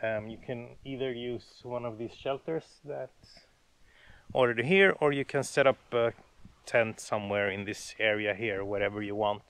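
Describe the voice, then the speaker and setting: Relaxed man vlogging outdoors. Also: mid-range voice, normal speed, no room sound. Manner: relaxed, hesitant with many fillers. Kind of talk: casual outdoor vlog. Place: outdoors in a forest by a lake. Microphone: camera held at arm's length.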